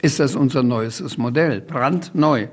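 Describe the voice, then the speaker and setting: A man reading aloud and voicing a pompous, irritable department store manager who scolds and shouts. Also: medium pitch, a little slow, close to an everyday voice. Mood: indignant. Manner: angry, indignant, scolding.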